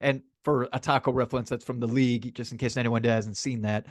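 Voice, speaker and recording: Male sports podcast co-host, mid-pitched, casual and animated banter, repeats himself for emphasis, dry recording. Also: brisk, dry recording.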